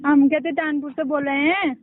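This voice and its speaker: A high-pitched woman, teasing and dodging every question. Her voice comes through a tinny phone line. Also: fairly even intonation, moderate pace, no room sound. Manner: evasive, teasing, dismissive. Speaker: prank call receiver.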